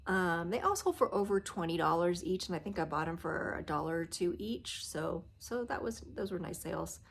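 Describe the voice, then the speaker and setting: A middle-aged woman with a nasal voice from a cold, chatting casually to camera in her living room, trailing off softly at the ends of phrases. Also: on the low side, expressive, moderate pace, creaky, slightly rough. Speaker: reseller vlogger. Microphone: phone or camera close at arm's length.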